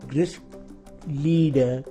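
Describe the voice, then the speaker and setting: A man speaks slowly and deliberately, his voice very dark and mellow. The archival clip sounds a little distant, with faint music behind him. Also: a little high, fairly even intonation.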